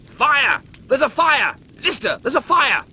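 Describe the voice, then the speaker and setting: A fussy, pedantic hologram officer, voiced by a man in a sci-fi comedy audiobook. The voice is high and sharp, swinging widely and easily panicked. Some noise sits behind it. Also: very animated, unhurried, exaggerated voice. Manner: pedantic, shrill, urgent. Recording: noisy background.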